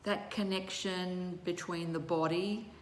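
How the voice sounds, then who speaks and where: Woman doing guided meditation, really slow n soothing w long pauses, roomy echo, bit of background noise. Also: lowish voice, steady tone. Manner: measured, soothing, with long pauses between short phrases. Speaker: yoga teacher leading guided relaxation.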